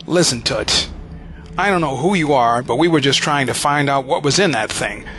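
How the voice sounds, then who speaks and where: An actor playing a brusque, suspicious American army squad leader in an audio drama, using gruff 1940s slang. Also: male voice, mid-range voice, normal speed, fairly bright tone, slight background noise, natural voice. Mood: gruff, impatient. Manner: gruff 1940s slang, calling women lady and toots.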